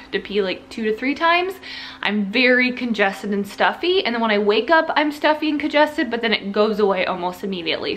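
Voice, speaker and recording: A woman's voice: on the high side, a bit bright. Chatty young woman with faint hiss. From Ohio, United States. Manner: chatty, rambling, with many restarts and little laughs. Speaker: vlogger. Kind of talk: casual pregnancy update vlog.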